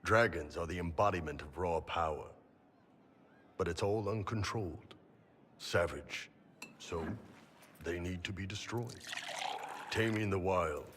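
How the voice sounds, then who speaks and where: An actor playing a big, boisterous warrior mercenary in a video game, blunt and hearty, with a gravelly voice. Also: male voice, low-pitched, a little slow, long pauses, fairly bright tone. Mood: tipsy, fierce. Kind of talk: dramatic video game dialogue. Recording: long hall reverb, faint background noise.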